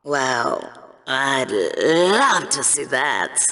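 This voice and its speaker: A woman's voice: a bass voice, very animated, extremely slow, bright, forceful tone, exaggerated voice. Sardonic bloodthirsty game show co-host, takes her time, drawly, theatrical. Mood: dry sarcasm. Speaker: co-host, commentator. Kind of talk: theatrical broadcast banter.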